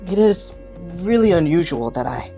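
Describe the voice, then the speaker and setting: A low-pitched young prince played by a woman speaks in an intimate audio drama over faint music. He is courteous and kind, a little hesitant and rambling, with a playful, teasing streak. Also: very animated, moderate pace, vocal fry. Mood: polite, flustered. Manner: polite, hesitant, softly teasing.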